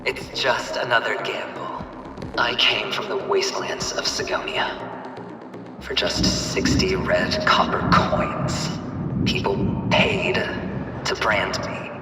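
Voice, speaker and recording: An actor playing a flamboyant, cunning young gambler in a video game, with a theatrical, taunting, drawn-out delivery. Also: male voice, fairly low pitch, far from the mic. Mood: bitter, proud. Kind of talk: video game cutscene acting. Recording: echoey hall reverb, music behind. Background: music.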